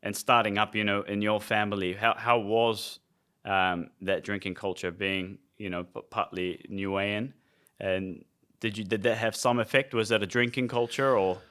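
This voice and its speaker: A man's voice: fairly low pitch, steady tone, moderate pace, a bit bright, clear, resonant tone. A young adult man from Iraq co-hosting a podcast, explaining things conversationally with frequent fillers. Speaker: podcast co-host, nutrition coach. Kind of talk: casual podcast chat.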